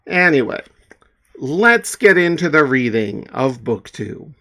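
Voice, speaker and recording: Laid-back guy chatting about his comic, slow talk, pitch all over the place. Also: big pitch swings, fairly bright tone. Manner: chatty, joking, many fillers. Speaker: comics author and reader. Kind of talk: casual commentary before a dramatic reading.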